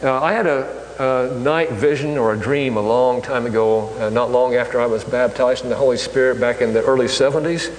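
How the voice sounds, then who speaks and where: An older man delivers a sermon in an easygoing, halting way, stopping often to restart his sentences. His voice rings through a large, echoey hall with a long tail of reverb. A faint hum sits underneath. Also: medium pitch, fairly even intonation. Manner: conversational, halting, many fillers and restarts. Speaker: preacher. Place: church hall.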